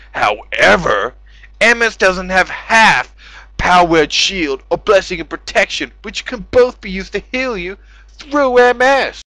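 A man's voice: sits high, sharp tone, dry recording. A man doing a sarcastic, exasperated, profane dramatic reading of forum posts, exaggerating as he goes. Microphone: close mic on gaming voice chat.

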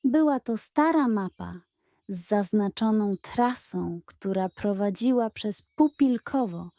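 A woman narrating a scripted children's bedtime story in a gentle, expressive storytelling manner. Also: medium pitch, slow pace, no room sound.

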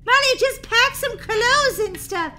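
Woman as a bossy girl, high and bright. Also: expressive, normal speed, exaggerated voice. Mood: exasperated. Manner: scolding, emphatic. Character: bossy, sensible, exasperated sister of a schoolgirl. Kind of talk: children's roleplay story acting.

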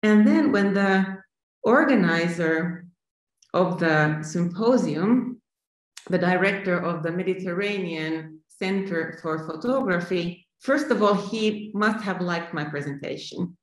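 Finnish lady artist on a video call, lowish voice, thinks as she talks, roomy echo. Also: takes it slowly. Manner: thoughtful, reflective, restarts phrases. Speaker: artist guest. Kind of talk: remote interview answers. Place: sunny room at home. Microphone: video call laptop camera. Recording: echoey room.

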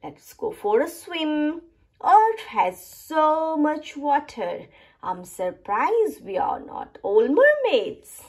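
A woman voicing an excitable young child exploring space in a picture book, with playful, exclamatory wonder. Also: high voice, takes it slowly, pitched above the performer's own voice, exaggerated voice.

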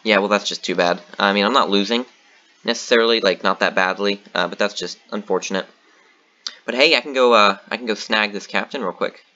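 A man's voice: lowish voice, a little fast, dull audio. A man giving casual commentary on his own board game match, thinking aloud with lots of ums and uhs. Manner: thinking aloud, relaxed, many fillers.

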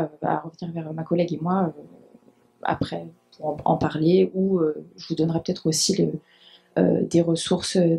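A woman in her thirties speaks on a panel in a university lecture hall, holding the mic in her hand. Her voice is mellow and a little gravelly. She talks in a hesitant way, with many fillers between her words. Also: fairly low pitch. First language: French. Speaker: dubbing adapter speaking on a panel. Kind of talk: conference panel talk. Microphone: handheld mic.

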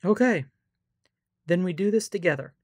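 A woman's voice: on the low side, expressive, normal speed, a little mellow, dry recording, studio quality. A woman playing a wary, plain-spoken rescue team member in an audio drama, blunt and questioning.

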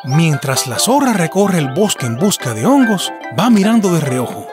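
A man's voice: fairly high pitch, very animated, moderate pace, music in the background. A man narrating a scripted folk tale for children as a storyteller.

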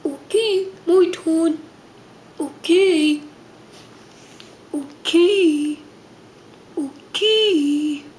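A good-natured, dopey cartoon starfish sidekick , acted out by a child with toys, speaks very high. The delivery is slow and halting. There is faint noise on the home camera recording. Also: full character voice. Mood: dopey, agreeable. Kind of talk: improvised toy-figure play acting.

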